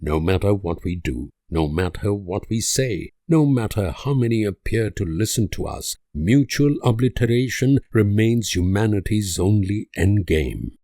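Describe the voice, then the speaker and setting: An actor playing an idealistic, world-weary diplomat, delivering grave, deliberate speeches and letters in a strategy game. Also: male voice, on the low side, expressive, dark tone, no room sound, clean studio sound. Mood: bleak sorrow.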